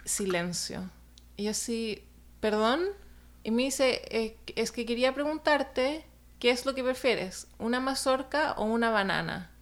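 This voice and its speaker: Woman storyteller, slightly high voice, hesitant, lots of fillers, casual chat. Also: measured pace. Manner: hesitant, many fillers, laughs while telling. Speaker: illustrator telling a story.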